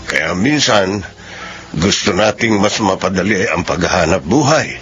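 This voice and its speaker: A man's voice: low-pitched, lively intonation, unhurried, fairly bright tone, faint music behind. A man giving scripted radio drama narration. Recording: dull radio broadcast recording.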